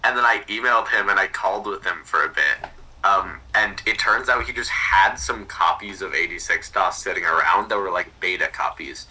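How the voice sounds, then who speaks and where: A man talks casually as a podcast guest, sounding a little distant from the microphone. His voice is fairly low yet extremely bright and sharp, with a tentative, self-effacing delivery that tends to trail off. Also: normal speed, husky. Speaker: podcast guest, hobbyist archivist. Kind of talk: casual chat.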